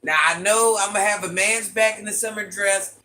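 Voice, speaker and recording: An older woman with a very deep voice, hosting a casual livestream, reading viewer comments and playfully trash-talking. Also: fairly even intonation, normal speed, pushed and bright. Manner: playful trash talk, sassy asides. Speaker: livestream host.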